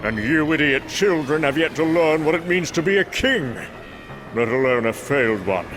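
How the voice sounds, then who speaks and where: A man's voice: on the high side, expressive, normal speed, lightly acted. Man as a stern old thunder-god king. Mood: scolding. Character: stern old male king of thunder gods, weary, proud. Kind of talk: heroic motion comic voice acting. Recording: music behind the voice.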